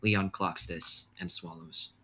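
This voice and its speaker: A young man reading stage directions in a steady, measured way. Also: lowish voice, gravelly. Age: young adult.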